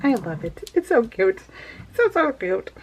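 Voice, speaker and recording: A woman vlogger in her forties chats casually while she works on a scrapbook page. Her voice sits slightly high and she rambles cheerfully, breaking into giggles and restarting her sentences. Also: big pitch swings, moderate pace, slight background noise, low-quality recording. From California. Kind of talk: casual chat while crafting.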